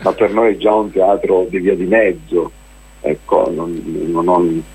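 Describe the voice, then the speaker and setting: A man's voice: sits low, level tone, measured pace, mellow, slightly rough, poor audio. A man, a festival organizer being interviewed on the radio, talking in a rambling, hesitant way with many fillers and restarts. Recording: radio broadcast.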